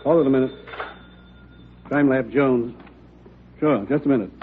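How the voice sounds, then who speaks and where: An actor playing a businesslike, dryly wry police crime lab man in an old radio drama, talking in a brisk, matter-of-fact way. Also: mid-range voice, lightly acted. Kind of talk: 1940s-50s radio drama, naturalistic police dialogue. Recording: tinny old radio recording, faint noise.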